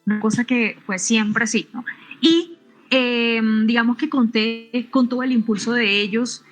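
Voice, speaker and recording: A middle-aged woman from Venezuela, a physicist answering interview questions in a halting way, often restarting and adding tag questions. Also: on the high side, steady tone, soft background music, low-quality recording. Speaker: physicist and researcher, interview guest.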